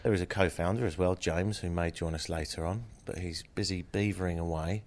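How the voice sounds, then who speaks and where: A man's voice: low-pitched, steady tone, brisk. A man hosting a casual interview, congested from a cold, who asks chatty, rambling questions. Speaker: podcast host.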